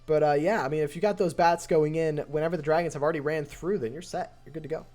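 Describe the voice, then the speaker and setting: A young man with a voice going hoarse, calling a mobile strategy game match on his live stream. His play-by-play is excitable and builds to near shouts at close finishes. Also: on the high side, fast, faint music behind. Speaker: esports caster and streamer.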